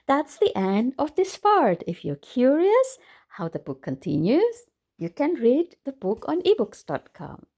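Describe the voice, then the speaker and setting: A woman reading a children's story aloud in an expressive way, exclaiming and putting questions to her listeners. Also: extremely high pitch. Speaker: storytime reader for a children's book club. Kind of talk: animated children's read-aloud with commentary to listeners.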